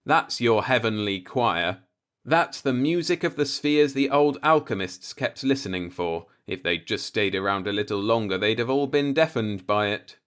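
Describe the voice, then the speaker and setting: A man's voice: medium pitch, expressive, normal speed, a bit bright, clean tone, natural voice. Brooding professor, voiced by a man, musing bitterly. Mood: sardonic wonder. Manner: musing, pointed, rhetorical monologue. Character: brooding, disillusioned male professor philosophizing bitterly. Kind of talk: audiobook character monologue.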